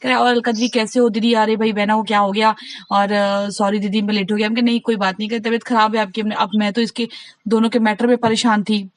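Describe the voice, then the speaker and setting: A woman's voice: mid-range voice, level tone, fast, dry recording. A woman in a casual, gossipy phone conversation, rambling earnestly as she retells exchanges and gives advice. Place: phone call recording.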